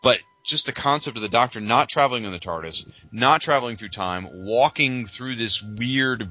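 A man's voice: medium pitch, normal speed, pushed and bright, highs cut off. A man co-hosting a podcast, talking casually and emphatically with a fan's strong opinions. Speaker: podcast co-host. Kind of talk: casual fan discussion.

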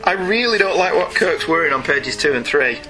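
Higher-voiced man over music. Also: animated, fairly quick. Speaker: podcast co-host. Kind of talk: casual comic-book review chat. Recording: music behind the voice.

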